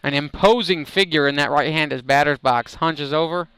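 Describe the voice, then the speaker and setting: Male, slightly high pitch, clear tone, analytical live baseball commentator. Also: moderate pace, a bit bright, no room sound, rough recording.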